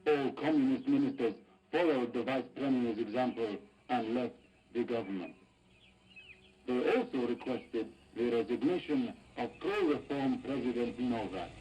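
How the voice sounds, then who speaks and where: Man as dark-voiced, formal radio news correspondent. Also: medium pitch, close to an everyday voice, rough recording. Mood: grave, official. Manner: measured, formal delivery. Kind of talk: scripted news bulletin in a video game.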